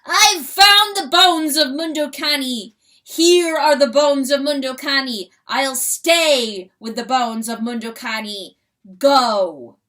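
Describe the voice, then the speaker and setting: A woman voicing a proud male rooster who crows out his words in slow, drawn-out shouts. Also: sits high, voice pushed up, full character voice. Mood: anguished shouting. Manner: shouted crowing cries, drawn out. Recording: voice heard from a distance.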